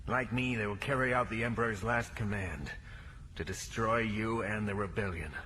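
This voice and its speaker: An actor playing an anguished, proud fallen Dark Jedi in a melodramatic audio drama, intense and dramatic in delivery. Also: male voice, on the low side, normal speed, a little hiss or hum, rough recording. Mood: shaken, grave. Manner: intense, dramatic outbursts and broken phrases.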